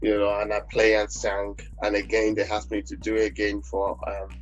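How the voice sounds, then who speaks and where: A Nigerian man, a gospel singer, talking in a relaxed interview, rambling with many fillers and restarts. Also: on the low side, normal speed. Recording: dull lo-fi audio with faint music.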